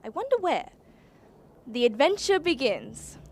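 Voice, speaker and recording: A woman's voice: sits high, measured pace, a little hiss or hum, exaggerated voice. A woman playing a plucky young Australian student research assistant, narrating a radio play in slangy first person. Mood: curious, wary. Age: young adult. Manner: animated, slangy.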